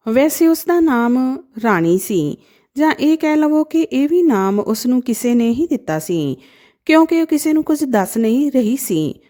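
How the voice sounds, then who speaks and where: Woman, storyteller, soft mellow tone, fairly high pitch, gentle emotive delivery, scripted narration. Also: big pitch swings, a little slow.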